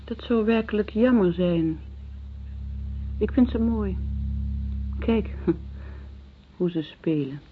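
An actress playing a polite, wonder-struck lady passenger on a ship in a radio drama, speaking in a dreamy, measured way. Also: mid-range voice, slight background noise, close to an everyday voice. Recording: dull lo-fi old radio play recording.

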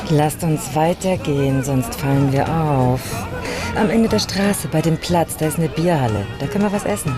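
An actress playing a composed, shrewd amateur sleuth who reasons in a measured way in a German radio crime drama. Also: female voice, sits low, natural voice. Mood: composed, practical. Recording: music and crowd chatter behind.

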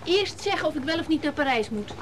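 A woman's voice: high voice, lively intonation, fast, a little hiss or hum. An actress playing a flamboyant, insistent married woman, direct and coaxing, in a naturalistic radio drama.

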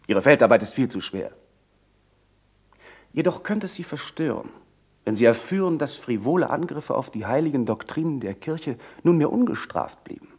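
Husky, haughty young nobleman. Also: on the low side, a little mellow. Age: young adult. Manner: formal, measured, lecturing. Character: haughty young aristocratic landowner, pious, condescending. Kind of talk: German radio play acting.

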